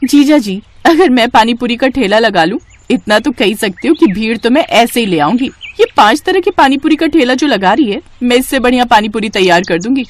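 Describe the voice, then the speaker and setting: Woman playing an ambitious young female vendor, high, highly animated, coaxing, cartoon moral story. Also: fairly quick, hardly any pauses. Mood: confident. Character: bold, ambitious young sister-in-law who turns food vendor.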